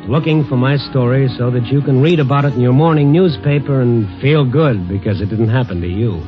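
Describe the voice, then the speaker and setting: A man's voice: medium pitch, close to an everyday voice. A man acting a streetwise, world-weary American newspaper reporter with a wry, hard-boiled manner in 1950s radio noir style. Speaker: lead actor and first-person narrator. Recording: old muffled radio recording, music behind.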